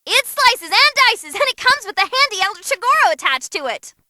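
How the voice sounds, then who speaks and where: An actress voicing an excitable, cheeky young female ring announcer, giving animated, theatrical fight commentary in a video game. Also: extremely high pitch, brisk, dry recording, heavily acted. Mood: cheeky commentating. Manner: perky, theatrical, wisecracking. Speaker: match commentator.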